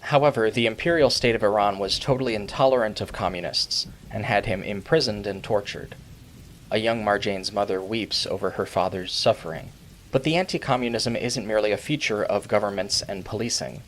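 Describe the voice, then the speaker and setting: Low-voiced man narrating a video essay. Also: fairly bright tone, slight background noise, poor audio. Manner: measured, formal, literary wording. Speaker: video essayist, channel host.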